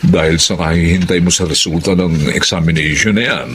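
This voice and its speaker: An actor playing a gentle, concerned middle-aged Filipino father, soothing and patient, in a radio drama. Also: low voice, fairly even intonation, a little fast, noisy background, close to an everyday voice. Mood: soothing, pacifying.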